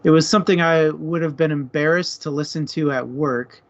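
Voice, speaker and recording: A man hosting a relaxed, conversational discussion in an everyday speaking style. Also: on the high side, normal speed, highs cut off. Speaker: host of a book discussion stream. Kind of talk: casual discussion.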